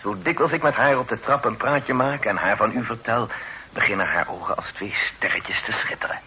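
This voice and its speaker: An actor playing a humble, effusively grateful amateur poet in a period radio play, with deferential, wordy, old-fashioned speech. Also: male voice, medium pitch, brisk, bright, forceful tone, lightly acted. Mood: pleased, chatty. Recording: noisy old radio drama recording.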